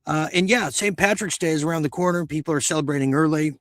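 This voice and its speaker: A man hosting a late-night talk show in a casual, halting, musing way, his voice husky and starting to give out. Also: fairly high pitch, no echo. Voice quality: husky, gravelly voice. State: losing his voice.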